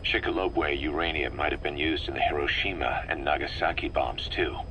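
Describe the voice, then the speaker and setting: An actor playing a serious, worldly military intelligence officer, giving a measured, grave briefing in a video game. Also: male voice, a bass voice, pushed and bright, a little hiss or hum. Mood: grave, analytical.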